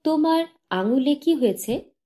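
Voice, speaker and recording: A woman's voice: high-pitched, animated, unhurried, dark tone, pitched above the performer's own voice. A woman voicing a young adoring wife who is playful, jealous and anxious, with a coaxing, tender way of speaking. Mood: concerned.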